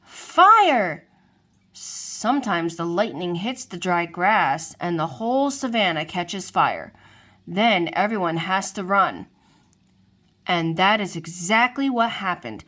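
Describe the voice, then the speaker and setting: A woman reading a children's storybook aloud to kids, lively and expressive. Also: on the low side, slow pace. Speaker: storybook reader.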